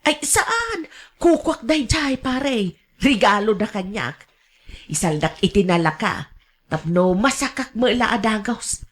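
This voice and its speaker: A woman's voice: fairly high pitch, sing-song, a little slow. A woman acting in a radio drama as a meek, pleading elderly gambling mother, with a hesitant, stammering delivery and a Filipino Ilocano accent. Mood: wheedling.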